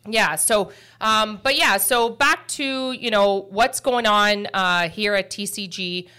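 A middle-aged woman hosts a podcast in a relaxed, chatty way. Her voice sits at an ordinary middle pitch. She tells stories with lively energy and plenty of "you know" and "um". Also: slow, clean tone. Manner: chatty, animated storytelling with frequent fillers. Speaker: mortgage podcast host. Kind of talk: casual chat.

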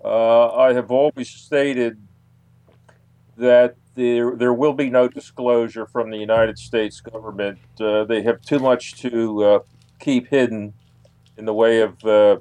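A man answering as an author guest on a radio interview, halting and deliberate in his phrasing. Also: mid-range voice, fairly even intonation, a little mellow, clear, resonant tone.

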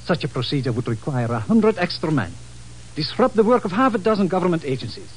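An actor playing a formal, skeptical colonel in an old-time radio drama. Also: male voice, on the high side, big pitch swings, breathy edge. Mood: dismissive, courteous. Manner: formal, courteous, measured wording. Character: formal, skeptical Portuguese colonel in charge of security. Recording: slightly noisy vintage radio recording.

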